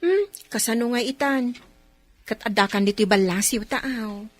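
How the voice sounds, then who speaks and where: A woman with an Ilocano accent playing a chatty, sassy household helper in a radio drama, expressive and full of interjections. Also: a little high, a little slow, soft background music. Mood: curious, cautioning.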